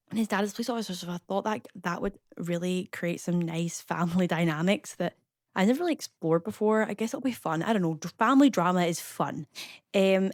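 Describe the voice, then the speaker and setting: A young Scottish woman chatting casually as a voice-over, rambling with lots of fillers and quick asides. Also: fairly low pitch, dry recording, studio quality. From Scotland. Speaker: YouTuber narrating a game build.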